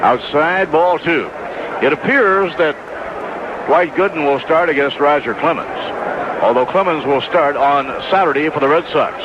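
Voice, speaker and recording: A man's voice: a little high, moderate pace. A man doing live play-by-play baseball commentary on the radio. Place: stadium broadcast booth. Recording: noisy, dull 1980s AM radio broadcast recording.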